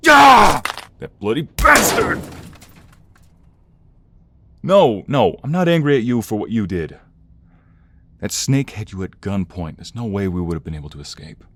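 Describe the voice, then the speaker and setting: A man playing a protective, defiant mobster devoted to his lover, acted with earnest emotion and dramatic pauses in an audio roleplay. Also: lowish voice, very animated, bright, forceful tone, heavily acted. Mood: furious, desperate. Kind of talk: audio roleplay romance acting.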